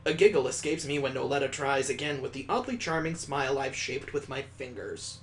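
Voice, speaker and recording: A man's voice: mid-range voice, a little fast, slight background noise. A man reading a visual novel aloud as a let's play host, adding sarcastic, playful asides.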